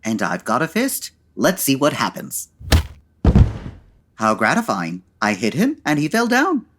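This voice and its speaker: An actor playing a suave, unflappable gentleman detective in an old-time radio style comedy, with dry, urbane, formal wording. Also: medium pitch, wildly swooping pitch, a little slow, very noisy. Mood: wry, assured.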